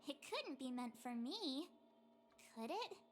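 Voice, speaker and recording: A woman voicing a perky, playful young girl companion in a video game, with a teasing, lively manner. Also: sits high, slow, full character voice.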